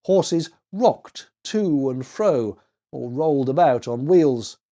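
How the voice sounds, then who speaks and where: A man's voice: fairly high pitch, lively intonation, slow, warm tone. A man reading a children's story aloud as narrator, expressively and with dramatic emphasis.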